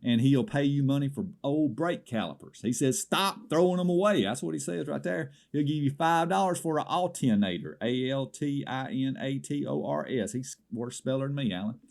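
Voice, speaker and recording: A man's voice: medium pitch, expressive, moderate pace, creaky, few pauses, a little mellow. A man playing an indignant small-town caller with a Southern American accent, rambling through a comedy swap shop report with exasperated asides. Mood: folksy, wary.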